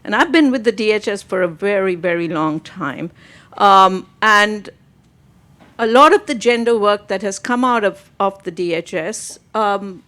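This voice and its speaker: Slow, measured middle-aged woman panelist. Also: medium pitch, expressive, fairly bright tone. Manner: measured, thoughtful, with fillers. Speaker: panelist, survey program director. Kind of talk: panel discussion answer.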